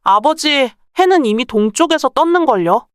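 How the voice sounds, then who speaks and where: A woman's voice: high-pitched, expressive, normal speed, no echo, studio quality. A woman playing a clever, bold young merchant's daughter in a folktale, speaking politely and persuasively. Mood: earnest. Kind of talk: acted Korean folktale dialogue.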